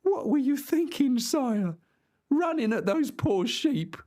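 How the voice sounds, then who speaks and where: A man puts on a bumbling young male squire from the farm, his voice jumping up high and swinging wildly. He stammers and blurts things out with simple cheer, in a storybook reading. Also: big pitch swings, slow pace, mellow, heavily acted. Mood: worried. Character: eager, bewildered young male farmer squire.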